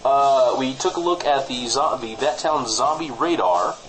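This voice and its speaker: A man's voice: mid-range voice, unhurried, low-quality recording. An American man co-hosting a comedy podcast, bantering as if presenting small-town radio. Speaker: podcast co-host.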